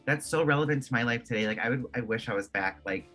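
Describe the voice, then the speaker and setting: A man's voice: medium pitch, fast talker, pushed and bright. A man in his twenties from Michigan, a guest chatting casually in an interview, full of "like" fillers. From Michigan, USA. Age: 20s. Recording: faint music behind.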